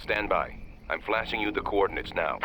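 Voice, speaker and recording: A man's voice: sits low, moderate pace, sharp tone, slight background noise, low-quality recording. An actor playing a grave, matter-of-fact man who guides agents through a mission in a video game. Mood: urgent. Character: serious male mission informant giving guidance by radio. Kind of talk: video game cutscene acting.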